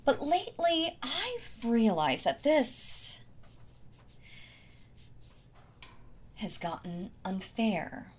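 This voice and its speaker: A woman's voice: fairly high pitch, wildly swooping pitch, husky, heavily acted. A woman playing a possessive yandere wife in an intimate audio roleplay, doting and condescending, with a sweetly menacing manner. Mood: bitter, possessive. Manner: slow, coddling, condescending, with sighs and soft laughs.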